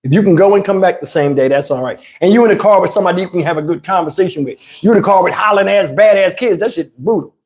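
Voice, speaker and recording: A man's voice: high-pitched, fast, hardly any pauses, a little mellow. A man talks to his livestream viewers. He rambles in loose slang and swears freely as he goes. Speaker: livestream host. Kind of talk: casual livestream chat with viewers.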